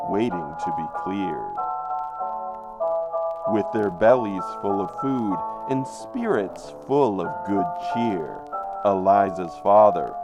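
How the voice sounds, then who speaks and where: A man narrating an old-time radio drama from a script in a dramatic, deliberate, ominous manner. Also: lowish voice. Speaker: narrator and announcer. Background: music.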